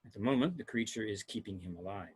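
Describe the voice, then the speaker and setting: A man playing a clinical, clipped science officer with a husky voice in an amateur sci-fi table read. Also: fairly low pitch, fast talker, rough recording. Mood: cool, cautious.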